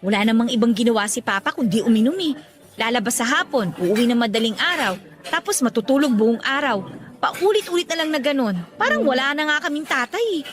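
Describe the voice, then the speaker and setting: A woman's voice: on the high side, animated, brisk, natural voice. A woman acting in a radio drama as a burdened young working woman, talking in an earnest, conversational way. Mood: weary, resentful.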